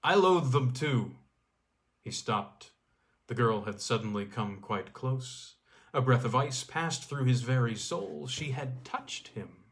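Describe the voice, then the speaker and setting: A man reading a literary story aloud as a storyteller, with deliberate phrasing and dramatic pauses. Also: medium pitch. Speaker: storyteller, audiobook reader.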